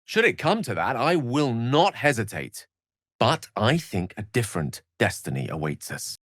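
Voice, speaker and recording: A man's voice: mid-range voice, sing-song, moderate pace, a bit bright, no room sound, clean studio sound. A man playing an erudite, courteous and wry wizard in a video game, speaking in eloquent, theatrical sentences. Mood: resolute. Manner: eloquent, articulate, theatrical, wordy. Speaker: video game character voice actor.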